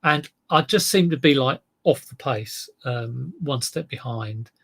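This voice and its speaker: A man's voice: medium pitch, unhurried, vocal fry, slightly rough. A man with a South East London drawl, chatting casually on a live stream, rambling about his sales and reading out viewer comments. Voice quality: relaxed drawl. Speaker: eBay reseller livestream host.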